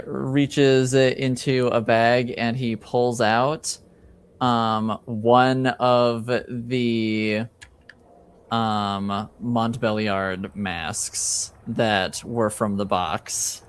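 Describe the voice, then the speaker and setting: A man narrating a tabletop game as game master, improvising in a halting way as he searches for words. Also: mid-range voice, smooth.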